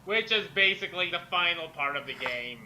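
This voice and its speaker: Bright, high-pitched man doing a dramatic reading. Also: animated, brisk, a little hiss or hum. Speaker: dramatic reader of fan fiction. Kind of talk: dramatic story reading mixed with casual banter.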